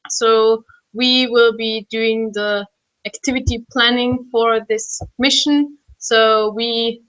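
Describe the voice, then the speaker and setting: A woman's voice: a little high, pushed and bright, rough recording. A young woman from Austria, a physics student who leads flight planning, speaking carefully. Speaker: flight planning team lead.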